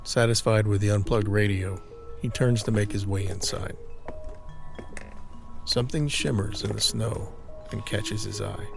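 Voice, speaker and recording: A man narrates an audio drama in a fairly low voice. He reads scripted, dramatic scene description. Music plays clearly underneath him. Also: measured pace, pauses often.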